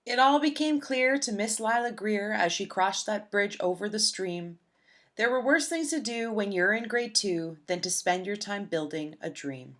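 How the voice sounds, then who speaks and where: A woman narrating a rhyming children's storybook aloud in an expressive, rhythmic, dramatic way. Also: mid-range voice, moderate pace. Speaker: storybook reader.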